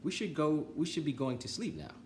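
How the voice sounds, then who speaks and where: Man doing a helpful frog, children's story, lifted pitch, lively melody, polite, encouraging. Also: a little fast, slight background noise. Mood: gently urging.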